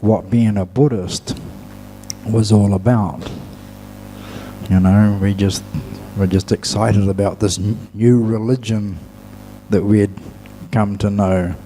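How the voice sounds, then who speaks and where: Low, slow, measured male Buddhist teacher. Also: steady tone, mellow, faint music behind, rough recording. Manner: measured, halting delivery with long pauses. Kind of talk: dhamma talk to an audience.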